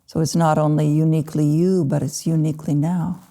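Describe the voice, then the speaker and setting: A woman with a low, gravelly voice leads a guided meditation. Her tone is dark and mellow, and she speaks as a teacher in short phrases with unhurried pauses. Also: level tone. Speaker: Buddhist meditation teacher (lama).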